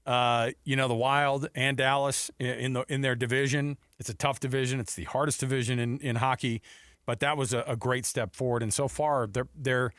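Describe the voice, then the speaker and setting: A man hosts sports talk radio in a mid-pitched voice. His delivery is informal, slangy and animated. The sound is dry and close, with very little room in it. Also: normal speed, clear, resonant tone, dry recording.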